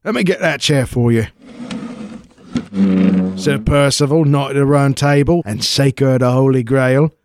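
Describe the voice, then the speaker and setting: A man's voice: medium pitch, moderate pace, pauses often, darkish tone, no room sound, clean studio sound, natural voice. A man playing a cheeky, chatty peasant in a comic radio play. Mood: blunt, chatty. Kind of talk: 1940s-style radio play acting.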